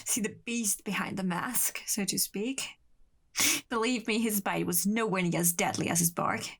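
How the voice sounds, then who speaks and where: A woman's voice: medium pitch, normal speed, natural voice. An actress playing a volatile, obsessive young woman in a radio drama, with a dramatic, defensive, sardonic way of talking. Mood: bitter, brooding.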